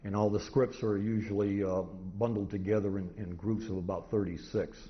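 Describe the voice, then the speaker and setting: A middle-aged American man with a fairly low voice gives an informal talk, picking through his points haltingly and methodically. He tells it as anecdote. The recording is somewhat dull, with noise behind him. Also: fairly even intonation. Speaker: presenter, old-time radio collector. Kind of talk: informal convention lecture. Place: convention presentation room. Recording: dull, noisy live room recording.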